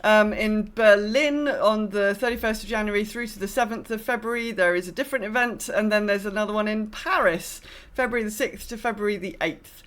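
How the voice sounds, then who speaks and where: Female podcast co-host, mid-range voice, chatty and halting, informal delivery. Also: medium pitch, fairly bright tone. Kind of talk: casual podcast chat.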